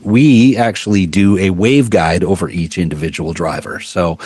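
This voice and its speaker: Low-voiced male product rep at a trade show, chatty and halting, dry sound. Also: darkish tone, slightly rough, dry recording. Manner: explanatory, many fillers and restarts. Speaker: product specialist. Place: busy trade show floor.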